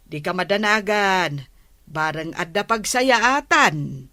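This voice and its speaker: Slow, patient village captain played by a woman. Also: medium pitch, lively intonation, pushed and bright, no room sound, clean studio sound. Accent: Filipino. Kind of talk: Filipino radio-style comedy drama acting.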